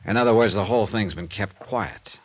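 Brusque hard-boiled detective. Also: male voice, lowish voice, fairly quick, breathy edge, dry recording. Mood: probing, curt. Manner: blunt, clipped, pressing. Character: brusque, hard-boiled male insurance investigator. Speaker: lead actor and narrator of a detective series. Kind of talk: 1950s radio drama acting.

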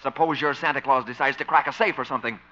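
An actor playing a prissy, pompous, suspicious boss, scolding in a 1950s radio comedy. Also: male voice, mid-range voice, fast talker, bright, forceful tone, lightly acted. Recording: muffled old radio recording.

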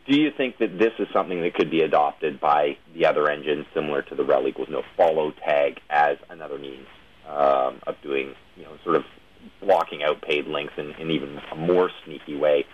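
A man's voice: rumbling low, brisk. A man hosting a conversational interview, wordy and hedging as he winds up to long questions, on dull lo-fi audio. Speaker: interviewer, show host.

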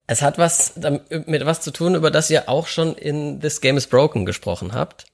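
A man hosts a podcast in a relaxed, casual chat. His voice has a gravelly fry to it. He talks hesitantly, with plenty of filler sounds between his thoughts. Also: a little high, moderate pace. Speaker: podcast host.

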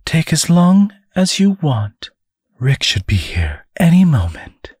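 This is a haughty young witch villainess from a storybook, acted out by a man. The voice is lifted high and husky, with slow, mocking phrases that swing widely in pitch. It sounds smug and menacing. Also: sing-song, a little mellow, no echo, studio quality, exaggerated voice. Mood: taunting.

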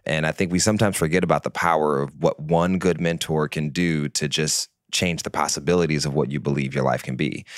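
A male host with a very deep voice, recorded dry and close. He affirms his guest warmly, then sets up long, carefully framed questions. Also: steady tone, fairly quick, hardly any pauses, no room sound. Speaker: interview host. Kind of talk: interview conversation.

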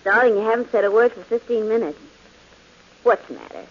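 Worried devoted girlfriend, quick pleading talk, fairly high, faint hiss, old radio sound. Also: lively intonation. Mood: concerned. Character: anxious devoted young woman, girlfriend. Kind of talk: 1940s radio acting. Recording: dull hissy old radio broadcast.

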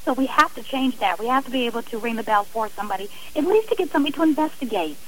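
A woman's voice: on the high side, expressive, very fast, a bit bright, slight background noise, low-quality recording. A woman speaking earnestly and emphatically as a guest in a radio interview. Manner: emphatic, impassioned.

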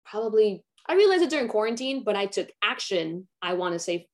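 A young woman, an actress, with a raspy, low voice, talking candidly and reflectively in a casual interview, with many "like" fillers. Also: big pitch swings, a little fast. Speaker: actress guest.

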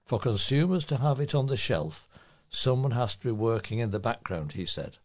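Man reading local news, medium pitch. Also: animated, moderate pace. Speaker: volunteer news reader. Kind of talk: read-aloud local news report.

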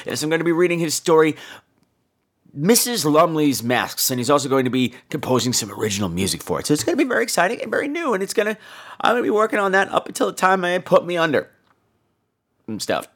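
A man's voice: fairly high pitch, very animated, quick pace, fairly bright tone. A man hosting a podcast in casual chat, with a campy, theatrical and cheeky delivery. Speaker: podcast host.

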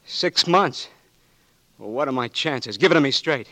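A desperate newly blinded young marine. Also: male voice, medium pitch, expressive, measured pace. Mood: pleading. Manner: pleading, breaks into anguished shouting. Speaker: wounded marine patient. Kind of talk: 1940s radio drama acting. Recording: dry old radio broadcast.

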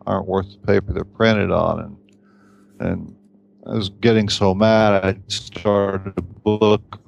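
A man, a novelist, telling his story in a casual interview in a wry, reflective and halting way, on a slightly dull lo-fi recording. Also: low voice, creaky.

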